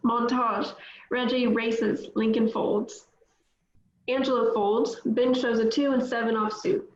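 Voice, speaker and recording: A woman reads stage directions in a steady, plain way, her voice a little high. The recording sounds echoey and dull, like lo-fi audio in a roomy space. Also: slow. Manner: plain, steady reading with small slips. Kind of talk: reading stage directions at table read.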